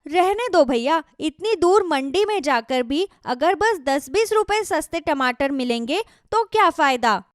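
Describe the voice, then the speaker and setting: Woman acting an outspoken, high-voiced village wife. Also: measured pace, hardly any pauses, no room sound, clean studio sound. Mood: indignant. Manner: animated, high, emphatic.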